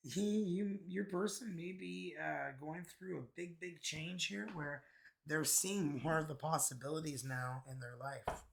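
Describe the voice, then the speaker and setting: A hoarse man from Canada with a raspy voice, chatting casually through a tarot card reading with many fillers. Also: a little high, fairly even intonation, a little slow, fairly bright tone. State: hoarse, recovering from illness. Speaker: tarot reader.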